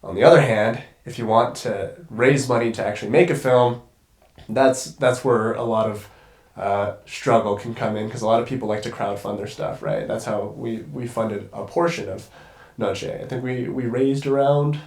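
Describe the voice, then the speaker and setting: A man's voice: medium pitch, fairly even intonation, normal speed. A young man with a congested voice talks casually as a guest, rambling, restarting his sentences and swearing freely. The sound carries a roomy echo around him. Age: young adult. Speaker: guest cinematographer. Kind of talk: casual podcast conversation.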